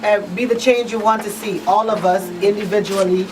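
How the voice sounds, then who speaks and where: A woman's voice: mid-range voice, fairly even intonation, fairly quick. A woman hosting a live talk show in a conversational style. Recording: distant mic with background noise.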